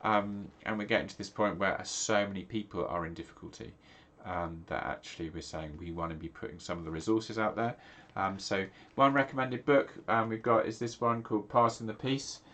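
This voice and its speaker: A man's voice: fairly low pitch, a little fast, few pauses, a bit bright. A middle-aged man from England, a pastor, talking casually to camera with a stuffy, nasal voice and many ums and restarts. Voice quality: nasal, congested. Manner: rambling, many fillers and restarts. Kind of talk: casual video talk.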